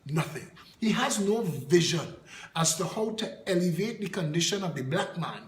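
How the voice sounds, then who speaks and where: Man, Trinidadian accent, high voice, fiery political speech, scornful, rhetorical questions, echoey room. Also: lively intonation, moderate pace. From Trinidad and Tobago. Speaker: political party leader. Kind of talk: impassioned political address.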